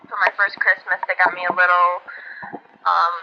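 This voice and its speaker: A young woman, a college basketball player and team captain, with a high-pitched voice, talking earnestly and chattily with many fillers and restarts. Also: flat delivery, normal speed, vocal fry, dull audio. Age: young adult. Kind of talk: casual interview answers.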